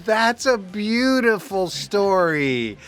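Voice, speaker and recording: A man's voice: sits high, sing-song, takes it slowly, fairly bright tone. A man hosting a casual promotional interview with a fellow actor, playful and bantering.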